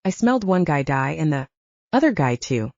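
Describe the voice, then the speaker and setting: Woman reading as haunted young male half-werewolf, mellow. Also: low voice, sing-song, moderate pace, dry recording. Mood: troubled, reflective. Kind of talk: audiobook character dialogue. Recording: dull, lo-fi, narrow sound.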